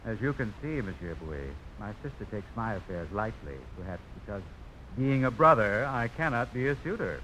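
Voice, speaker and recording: An actor playing a haughty young gentleman in a radio drama, pompous and courtly with a sardonic edge. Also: lowish voice, lively intonation, moderate pace, natural voice. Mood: suave. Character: haughty, hot-tempered young New Orleans gentleman, suavely teasing. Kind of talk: 1950s radio drama acting. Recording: hissy old radio broadcast.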